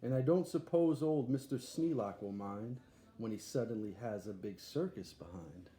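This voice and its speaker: A man's voice: mid-range voice, moderate pace, a crowd in the background, natural voice. A man voicing an eager, boastful boy showman in a storybook, barking grandly like a ringmaster. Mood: breezy, assured. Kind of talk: storybook read-aloud character. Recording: echoey room, faint background noise.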